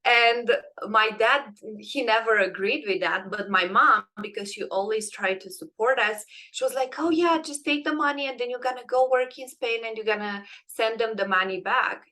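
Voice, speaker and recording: A woman's voice: medium pitch, sharp tone. A young adult woman with a Romanian accent, a podcast guest telling her personal story earnestly, with frequent ums and restarts. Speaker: guest, transitions coach.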